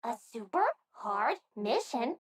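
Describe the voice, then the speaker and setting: A woman voicing a tiny, innocent mascot creature with simple, halting, childlike phrasing in an anime game. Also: on the high side, big pitch swings, slightly rough, no room sound, clean studio sound, full character voice. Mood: innocent, curious.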